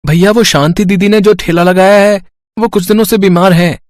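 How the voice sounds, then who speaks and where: A man's voice: high voice, fairly even intonation, moderate pace, a little mellow, dry recording, clean studio sound, natural voice. A man playing a helpful, respectful servant at a small eatery in a story. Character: helpful young male hotel servant.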